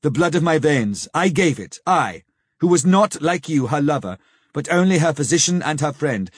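A man voicing an old male professor who speaks gravely, sternly and with pity, in slow, solemn, pleading phrases for an audiobook. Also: medium pitch, no room sound, close to an everyday voice. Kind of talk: audiobook character reading.

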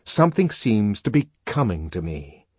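Male narrator as buoyant male lecturer-poet, audiobook dialogue, swooping intonation, emphatic, amused, slightly husky. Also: on the low side, normal speed, dry recording, clean studio sound. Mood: earnest. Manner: animated, emphatic, amused storytelling.

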